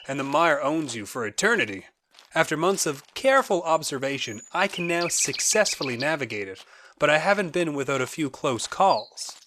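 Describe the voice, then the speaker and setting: A man acts out an eccentric gentleman naturalist for a radio play, genial and theatrical, with a fairly high voice that swings widely. He relishes every ominous detail, over a noisy track with birdsong. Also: natural voice. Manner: theatrical, eager, ominous storytelling. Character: genial, eccentric naturalist brother, guide to a wild moor. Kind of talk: audio drama acting.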